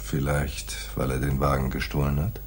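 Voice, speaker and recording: A man's voice: a bass voice, completely flat delivery, normal speed, breathy edge. An actor playing a laconic, shrewd private detective in a radio play, dry and slangy, telling his case like noir. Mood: cool, terse. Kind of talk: 1980s German radio play, noir dialogue and narration.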